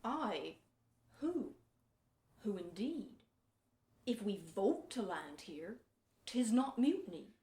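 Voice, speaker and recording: An actor playing an eager, easily swayed Pilgrim freeman in an audio play, excitable and speaking in archaic phrases. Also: mid-range voice, slow, long pauses. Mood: surprised, eager. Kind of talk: old-fashioned radio play acting. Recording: echoey, off-mic audio drama.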